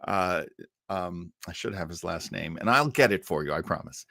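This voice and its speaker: A man hosting a livestream in a casual, rambling, halting way, telling stories and asides with an amused manner. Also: sits low, animated, vocal fry, bright, forceful tone, no echo, clean studio sound. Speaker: livestream show host. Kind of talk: casual livestream chat, storytelling.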